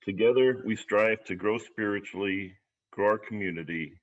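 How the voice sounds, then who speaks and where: A man hosting a service, giving a formal, somewhat halting welcome and announcements that sound partly read out. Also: on the low side. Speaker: service host. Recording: dull lo-fi video-call audio.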